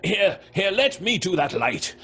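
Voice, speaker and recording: An actor playing a dry, scornful, impatient gentleman skeptic at a séance in an old-fashioned radio horror drama. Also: high voice, sing-song, unhurried, husky, heavily acted. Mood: irritated, blustering.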